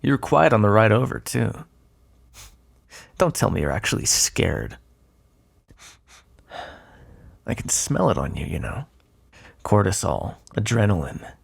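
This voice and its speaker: A man playing a smug, predatory vampire in an ASMR roleplay, taunting and flirtatious, with a gravelly, breathy voice. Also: fairly low pitch, sing-song, normal speed, long pauses, heavily acted. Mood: dry teasing. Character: predatory, teasing male vampire, smug and seductive.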